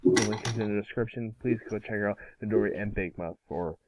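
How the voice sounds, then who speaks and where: A man's voice: on the low side, fairly quick, a little mellow. A man chatting casually as the host of an amateur voice-acting table read. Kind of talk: casual chat. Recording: dull, lo-fi microphone.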